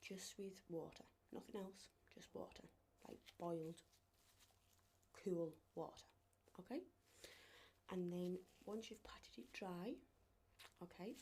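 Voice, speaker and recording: A woman speaking softly and reassuringly in an ASMR medical roleplay as a clinician, often dropping to a whisper. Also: on the low side, slight background noise. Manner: gentle, reassuring, often checking with "okay?".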